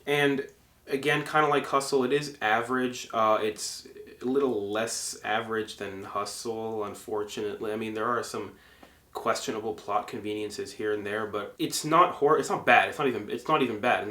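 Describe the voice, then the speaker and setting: A man's voice: medium pitch, fairly bright tone, roomy sound. Casual young man reviewing movies. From Egypt. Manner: conversational, frequent fillers and self-corrections.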